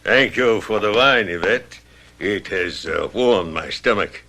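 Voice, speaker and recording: A man's voice: lowish voice, animated, unhurried, sharp tone, slight background noise, full character voice. A man acting in a radio drama as a flirtatious, wine-loving sailor with a teasing manner. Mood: roguish, teasing.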